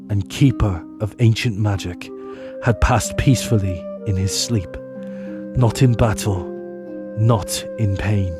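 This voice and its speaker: A male narrator with a very deep voice tells the story in a grave, measured way. His delivery is unhurried and deliberate. A clear music bed plays beneath his voice. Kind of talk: scripted audio drama narration. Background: music underneath.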